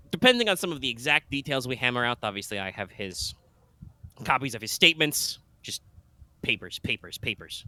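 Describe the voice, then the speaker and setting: A man's voice: mid-range voice, bright, forceful tone. A man playing a suave, wry defense lawyer. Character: suave male career defense lawyer in green suits.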